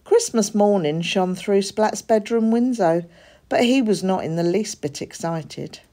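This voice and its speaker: A woman reading a children's story aloud in an expressive narrating style. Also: medium pitch, measured pace. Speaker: storybook reader.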